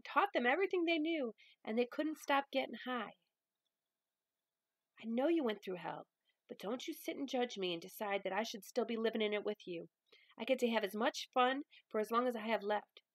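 A stern but loving grandmother, acted by a woman reading from a novel, speaks in a high voice. She is emphatic and folksy, handing out tough love with firm conviction, recorded dry. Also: expressive, moderate pace, dry recording. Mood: stern, impassioned.

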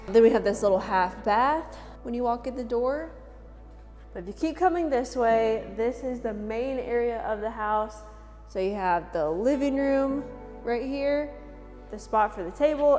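A woman's voice: medium pitch, a little mellow. Chatty young woman in echoey empty rooms. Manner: chatty and upbeat, laughs often. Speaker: vlogger giving a house tour. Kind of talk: casual house tour narration. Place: large empty house, indoors. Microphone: camera mic from a few steps away. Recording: roomy reverb in empty rooms, music underneath.

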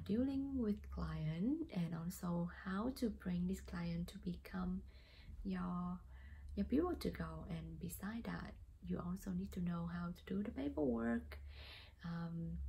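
Young woman, Vietnamese accent, fry in the voice, casual vlog, faint room hiss. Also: fairly low pitch, mellow, poor audio. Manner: hesitant, many fillers, gesturing with hands. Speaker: real estate agent vlogger. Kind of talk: casual talk to camera. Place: small plain indoor room.

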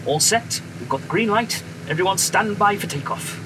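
An actor playing a friendly, assured wartime bomber pilot with a British accent, brisk and reassuring, in a radio drama. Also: male voice, high-pitched, expressive, sharp tone. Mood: brisk, businesslike. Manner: brisk, reassuring, polite officer. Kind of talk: period radio drama acting. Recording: noisy aircraft engine background.

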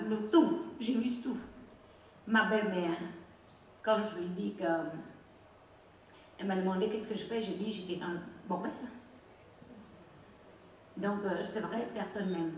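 A middle-aged woman from Cambodia, a native Khmer speaker with a Cambodian accent, giving a halting, emotional personal testimony on a theatre stage into a handheld mic. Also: medium pitch, a little hiss or hum. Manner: halting, emotional, non-native phrasing, asks the audience "non?". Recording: echoey theatre from far off.

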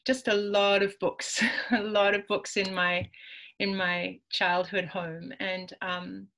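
A woman's voice: medium pitch, flat delivery, takes it slowly, a bit bright. A woman in her forties, a Spanish native speaker from Peru, talking casually as an author guest over a video call from her home, with many ums and easy laughs. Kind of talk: casual conversational interview. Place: home room with bookshelves. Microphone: video call webcam.

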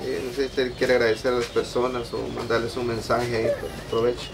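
A man's voice: medium pitch, monotone, fairly quick, crowd noise. A man in his thirties with a Guatemalan Spanish accent, hosting a casual interview on the patio of a home, friendly and full of fillers. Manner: friendly, talks with many fillers like "va" and "pues". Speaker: host of a charity video channel. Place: outdoor concrete-block patio of a home. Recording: distant camera sound, birds and ambience behind.

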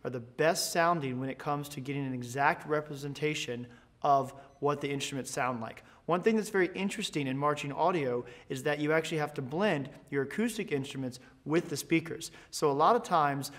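A man's voice: fairly high pitch, fairly bright tone. An American man in his thirties giving an instructional product tutorial in an explanatory, promotional way. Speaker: presenter, product education manager. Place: large indoor school band room.

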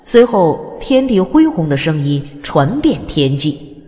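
A woman's voice: deep voice, very animated, takes it slowly, mellow. A woman reading scripted narration of a fantasy audiobook in a dramatic storytelling style. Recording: long hall reverb.

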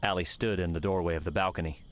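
A man's voice: sits low, steady tone, quick pace, slight background noise. A man narrating a scripted story in the third person as an audiobook reader.

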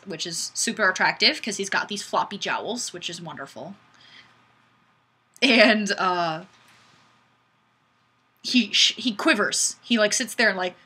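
A woman's voice: mid-range voice, husky. A woman in her twenties hosting a casual webcam livestream, chatting goofily and reading out viewer comments. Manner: bubbly and goofy, bursts of squealing excitement. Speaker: livestream host. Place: small indoor room, plain wall. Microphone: webcam mic.

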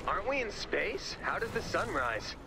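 A man voicing a naive, eager, easily impressed crewman in a video game, with a creaky voice. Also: high voice, big pitch swings, moderate pace, heavily acted, low-quality recording. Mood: puzzled. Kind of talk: video game character voice acting.

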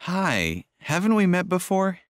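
A man's voice: high-pitched, very animated, unhurried, no room sound, studio quality. A man acting a friendly acquaintance in a scripted textbook dialogue.

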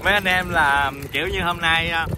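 A man with a southern Vietnamese Mekong Delta accent talks in a chatty, easygoing way, with a slightly raised voice and sudden bursts of excitement. He speaks outdoors from a river boat, with clear water and engine noise behind him, recorded on a camera mic. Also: on the high side, brisk. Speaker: fishing vlogger. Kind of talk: casual vlog narration.

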